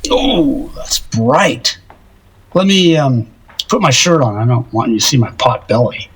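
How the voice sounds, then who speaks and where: An actor playing a lovesick, self-deprecating older married man in an audio drama, rambling wistfully and haltingly in a husky voice. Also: mid-range voice, lively intonation, low-quality recording. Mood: hesitant.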